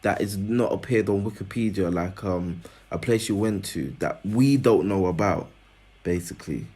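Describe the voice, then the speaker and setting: Guy interviewer, kinda low voice, lots of um and like when he asks stuff. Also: normal speed, mellow, slightly rough. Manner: hesitant, many fillers while asking. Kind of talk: casual interview questions.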